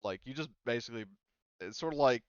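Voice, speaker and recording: A man running a tabletop roleplaying game as game master, narrating casually off the cuff in a halting, rambling way. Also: mid-range voice, animated, clean tone, no room sound, muffled recording. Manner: halting, rambling delivery heavy with hedges.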